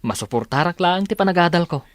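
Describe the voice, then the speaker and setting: A man's voice: high-pitched, expressive, quick pace, no echo. An actor playing a humble, dutiful young student father in a radio drama, sincere and modest. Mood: hopeful, humble. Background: background music.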